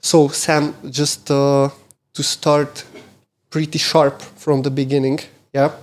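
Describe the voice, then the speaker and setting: Male podcast host, fairly high voice, halting, lots of fillers, casual interview. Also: level tone. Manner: halting, many fillers and restarts while framing questions.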